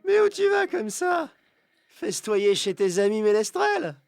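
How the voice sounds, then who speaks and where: A man's voice: sits high, big pitch swings, measured pace, heavily acted. An actor playing a playful, teasing young man in an audio drama, with a theatrical, mock-heroic way of talking. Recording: music and street crowd behind the voice.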